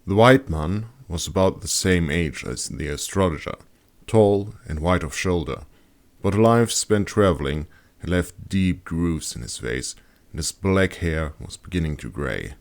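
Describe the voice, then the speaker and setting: Male narrator, low pitch, scripted fantasy audiobook, author reading own work. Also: lively intonation, measured pace.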